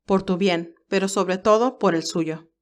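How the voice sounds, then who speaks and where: A woman's voice: medium pitch, unhurried, close to an everyday voice. A woman voicing a stern, protective older male advisor in an audio drama, speaking in an earnest, admonishing way.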